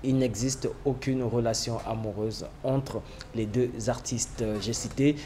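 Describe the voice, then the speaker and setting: Male TV host with a dark, mellow voice, halting and full of hesitations, faint hiss behind him. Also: mid-range voice, steady tone. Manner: halting, many "euh" fillers. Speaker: TV show host. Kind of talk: TV interview hosting.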